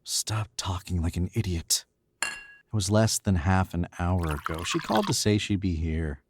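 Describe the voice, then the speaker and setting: A man's voice: deep voice, animated, moderate pace, background noise. A young man playing an arrogant, controlled murderer in a 1940s-style radio drama, with clipped, smug commands. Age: young adult.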